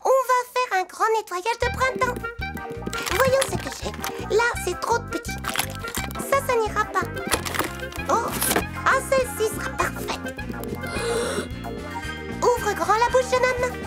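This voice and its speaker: A woman's voice: very high-pitched, slow, long pauses. A woman voicing a kindly, reassuring dentist mouse in a cartoon, with music behind. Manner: soothing, reassuring, patient.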